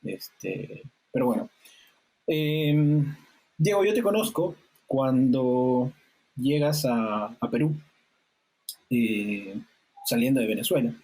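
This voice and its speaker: A man hosting a podcast interview, talking in a relaxed, friendly way with frequent hesitant fillers. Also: mid-range voice, slow pace, pauses often, breathy edge, rough recording. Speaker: podcast host. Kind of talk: casual interview conversation. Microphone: remote call setup.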